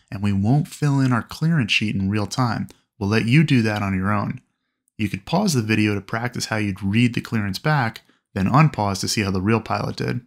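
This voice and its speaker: A man narrating instruction in a clear, explanatory way. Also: medium pitch.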